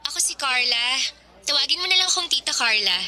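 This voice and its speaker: Friendly, higher-voiced young woman. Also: lively intonation, quick pace. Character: friendly young woman, uncle's sweetheart, talking to a child. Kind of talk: radio drama acting. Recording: loud music bed behind.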